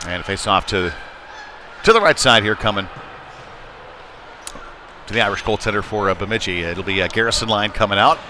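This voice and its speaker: A man's voice: lowish voice, brisk, long pauses, pushed and bright. Man calling hockey over rink noise. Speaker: ice hockey play-by-play commentator. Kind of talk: live sports commentary. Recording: clear background noise, live rink ambience. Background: ambient sports venue noise.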